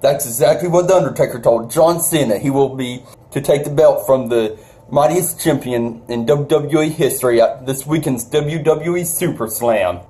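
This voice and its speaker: A young man playing a pushy prank caller who delivers relentless wrestling pay-per-view sales pitches. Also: moderate pace, mellow, lightly acted. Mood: hyped, teasing. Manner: relentless, promotional.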